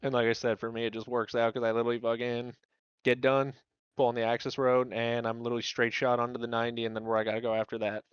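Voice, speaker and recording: A man's voice: mid-range voice, monotone, quick pace, clean tone, dry recording, dull audio. A man chatting casually about games in a laid-back, slangy way, swearing often as he explains things. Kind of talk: casual gaming chat.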